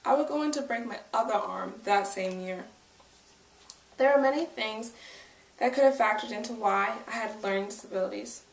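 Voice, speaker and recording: A woman's voice: fairly high pitch, vocal fry. A woman in her twenties with an American accent, giving a prepared autobiographical speech. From United States. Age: 20s. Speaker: speaker, self-published author. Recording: echoey room, distant microphone.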